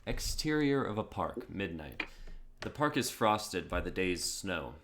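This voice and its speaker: A man's voice: sits low, very animated, unhurried, a bit bright. A man chatting casually and reading script narration on an online stream. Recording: clearly noisy mic with keyboard clicks.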